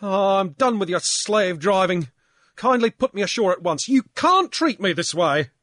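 A man putting on a shrill, loud young drunkard. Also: lively intonation, moderate pace, no room sound, clean studio sound. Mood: outraged. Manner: shrill, whining and blustering. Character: young male drunkard, sulky then defiant. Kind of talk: audiobook character voice.

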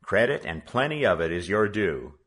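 A man reading a story aloud, voicing a kindly, shrewd middle-aged businessman speaking to his son in a measured, dry way. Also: low voice, sing-song, a bit bright, exaggerated voice.

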